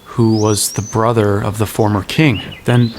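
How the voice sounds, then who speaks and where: An actor playing an impulsive, good-natured young hero in an audio drama, quick with baffled wisecracks. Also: male voice, lowish voice, level tone, background noise. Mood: confused, surprised. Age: young adult. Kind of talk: audio drama acting and first-person story narration.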